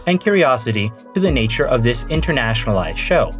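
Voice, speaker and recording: A man's voice: medium pitch, sing-song, fairly quick. A man narrating a video essay. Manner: earnest, argumentative. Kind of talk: scripted narration. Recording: narration over music.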